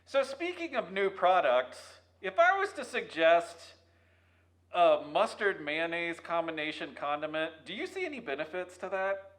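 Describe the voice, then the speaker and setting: Lively high-voiced male interviewer. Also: normal speed. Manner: quippy, bantering. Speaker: interviewer, host. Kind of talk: casual, jokey interview chat.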